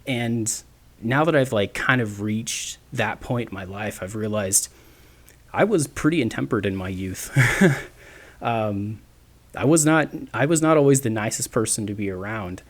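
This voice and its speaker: A man's voice: lowish voice, lively intonation, measured pace. A man of twenty-nine hosting a solo podcast, talking in a rambling, self-deprecating way with many restarts. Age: 20s. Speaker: podcast host. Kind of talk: casual solo podcast monologue.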